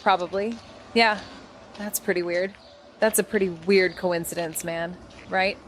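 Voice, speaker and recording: A woman's voice: lowish voice, steady tone, measured pace, slight background noise, lightly acted. An actress playing a fierce, sarcastic young detective in a noir audio drama, blunt and rambling as she tells her story. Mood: anxious, rambling. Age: young adult. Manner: sardonic, blunt, rambling, self-interrupting. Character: Amy, fierce sarcastic foul-mouthed young female detective. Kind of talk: first-person noir audio drama narration.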